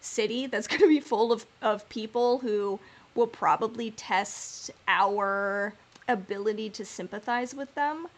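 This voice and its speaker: A woman's voice: a little high, unhurried. A woman hosting a podcast, chatting casually and informally as she speculates in a fan discussion. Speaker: podcast host.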